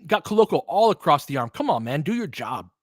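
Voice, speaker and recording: Animated man calling a basketball game. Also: fairly high pitch, fairly quick, dry recording, clean studio sound. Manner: clipped phrases, informal, reacts emotionally to plays. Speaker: play-by-play commentator and host. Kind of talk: casual, fan-biased live basketball commentary.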